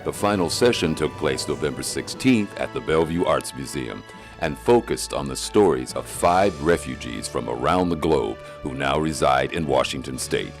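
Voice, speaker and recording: Very deep-voiced male narrator. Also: expressive, faint music behind. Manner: measured, formal read. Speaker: documentary narrator. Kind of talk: scripted narration.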